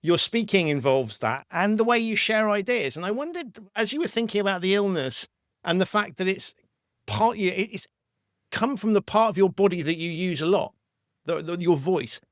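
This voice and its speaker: Male podcast host, high voice, affirming, circles back to rephrase, dry close sound. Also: lively intonation, normal speed, a bit bright, no room sound. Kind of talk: interview conversation.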